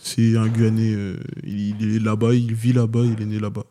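Young man from Guadeloupe, interviewee, halting, dark monotone. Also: on the low side. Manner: hesitant, many fillers. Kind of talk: casual answers to questions.

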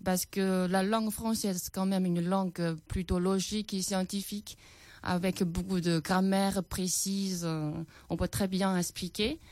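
A woman's voice: mid-range voice, fairly even intonation, unhurried, a little mellow, no echo. A Chinese-born woman teacher speaking French with a Chinese accent, hesitant and full of fillers, answering radio interview questions. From China.

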